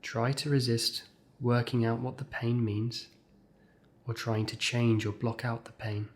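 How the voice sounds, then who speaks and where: A man leading a guided meditation as a scripted exercise, speaking in measured short phrases with gentle pauses. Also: mid-range voice, little pitch movement.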